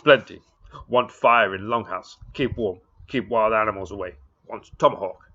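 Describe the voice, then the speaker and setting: A man reading aloud and voicing a small, proud warrior chief who makes loud, clipped demands in broken speech, in a dull lo-fi recording. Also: lowish voice, normal speed, a little hiss or hum. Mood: demanding.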